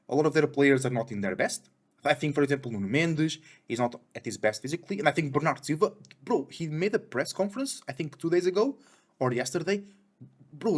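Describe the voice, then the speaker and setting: A young man from Portugal with a Portuguese accent, hosting a casual, chatty football preview on his own channel. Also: a little high. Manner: animated, chatty, slangy with "bro".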